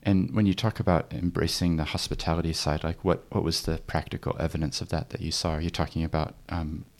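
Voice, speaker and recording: A man's voice: extremely low pitch, flat delivery, quick pace. A man who grew up in New Zealand, interviewing with curious, encouraging questions. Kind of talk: conversational interview.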